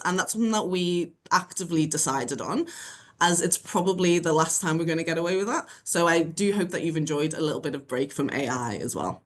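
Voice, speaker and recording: A woman's voice: sits low, a bit bright. A woman chairing an online seminar, giving a prepared, grateful and formal introduction of the speakers over a video call.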